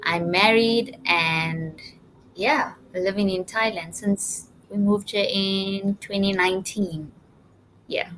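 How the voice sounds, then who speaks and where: A woman's voice: medium pitch, fairly even intonation, slow pace, fairly bright tone, slight background noise, poor audio. A woman from Zimbabwe speaking casually as an interview guest, telling of personal experience.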